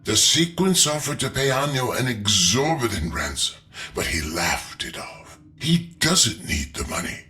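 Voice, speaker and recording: A man's voice: mid-range voice, sing-song, unhurried, sharp tone, faint music behind. A man voicing an earnest, principled leader in a video game, briefing allies in a formal, persuasive way. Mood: earnest, urgent.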